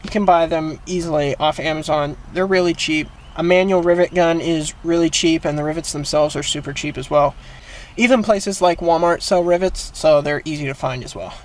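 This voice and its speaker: A man's voice: a little high, fairly quick. A man hosting a how-to video, talking through a hands-on job in a casual, plain-spoken, explanatory way. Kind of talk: casual hands-on DIY tutorial.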